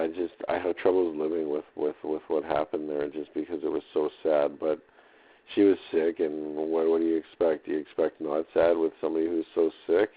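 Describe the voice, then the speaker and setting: Male diarist, monotone and full of vocal fry, drifting through halting, casual unscripted thoughts on a muffled lo-fi recorder. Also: rumbling low. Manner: hesitant, repetitive, filler-heavy, loosely drifting. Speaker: audio diarist. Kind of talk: rambling unscripted audio diary.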